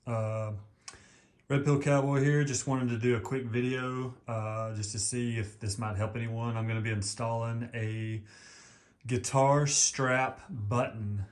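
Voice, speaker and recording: A deep-voiced man from East Texas talks in a loose, folksy ramble. He fills his pauses with ums and uhs as he explains a home project. His pitch sits right in the middle for a man. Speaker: vlogger. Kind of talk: casual home how-to video.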